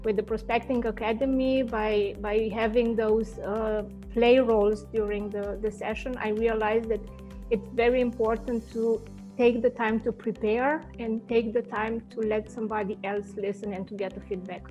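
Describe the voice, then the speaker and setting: Woman, thirties, hesitant fillers, monotone, faint music behind. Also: a little high, lo-fi sound. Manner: measured, hesitant with frequent fillers. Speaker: marketing head, interview guest. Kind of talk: video call testimonial interview. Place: indoor home office. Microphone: wired earphone mic on video call.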